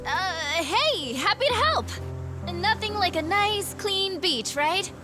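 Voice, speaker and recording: A woman's voice: extremely high pitch, expressive, slow pace, exaggerated voice. A woman voicing a guarded young woman in a video game drama, sardonic and teasing, with a hesitant delivery. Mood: friendly. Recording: music behind the voice.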